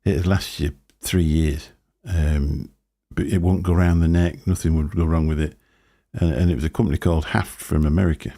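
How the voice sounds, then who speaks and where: A man with a very low, booming voice and a gravelly fry running under his words. His tone is dark and a little husky. He chats in a loose, rambling way on a live stream, talking into a desk microphone. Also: fairly even intonation, moderate pace, few pauses. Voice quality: deep booming voice. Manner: rambling, chatty, chuckling to himself. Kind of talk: casual live stream chat. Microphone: desk microphone through a mixer.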